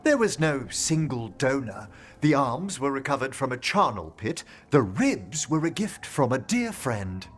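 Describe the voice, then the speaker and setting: An actor playing a gentle, earnest scholar of necromancy in a video game, speaking formally and precisely. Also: male voice, on the high side. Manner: formal, precise, eloquent speech. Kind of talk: video game character acting.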